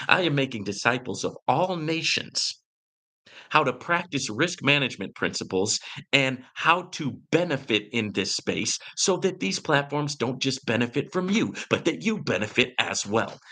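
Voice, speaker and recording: Animated, bright-voiced man ranting on a livestream. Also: medium pitch, creaky. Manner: emphatic, preachy, swings into shouting for emphasis; peppers talk with "right?" and "man". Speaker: livestream host. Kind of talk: live Q and A commentary, ranting.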